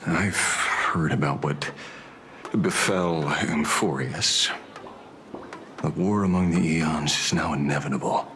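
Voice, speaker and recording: An actor voicing a gruff, cynical, mercenary old detective in a video game, with a sardonic, dramatic delivery. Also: male voice, rumbling low, takes it slowly, a bit bright, soft background music, exaggerated voice. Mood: grave, taunting.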